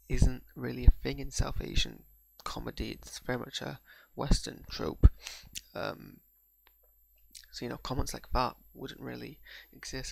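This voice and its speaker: A young man with a blend of Bradford and Pakistani accents talks through his reaction to an episode, stumbling and repeating words as he works out his thoughts. His voice is heavily gravelly with fry and stays flat in pitch. The recording is dry and close. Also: dry recording. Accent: mixed Bradford and Pakistani. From England. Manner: hesitant, repeats words while gathering thoughts. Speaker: video reviewer. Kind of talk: unscripted reaction monologue.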